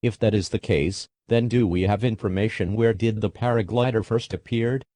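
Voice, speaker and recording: A man playing a TV news anchor who puts formal, measured questions to a reporter in a scripted breaking-news scenario. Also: lowish voice, fairly even intonation, warm tone, a little hiss or hum, close to an everyday voice. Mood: serious.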